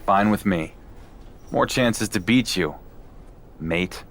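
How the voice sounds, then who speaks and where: A man's voice: lowish voice, takes it slowly, a bit bright, slight background noise. A man playing a defiant, dry-witted underdog wrestler in a video game story scene. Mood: dry confidence. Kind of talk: video game cutscene acting.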